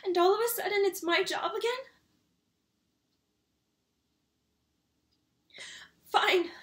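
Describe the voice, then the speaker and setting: A woman's voice: very high voice, fast talker, a bit bright. A woman playing a hurt, exasperated grown daughter confronting her father in an emotional dramatic monologue, with pleading, indignant emphasis. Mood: defiant, irritated. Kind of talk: emotional acted monologue, self-taped audition. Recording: echoey room.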